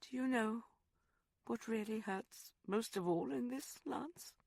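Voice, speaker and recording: A man's voice: very high-pitched, takes it slowly, gravelly, no echo, studio quality, voice pushed up, full character voice. A man voicing a proud, wounded young woman. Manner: pleading, sharp and rising. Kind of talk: audiobook character voice.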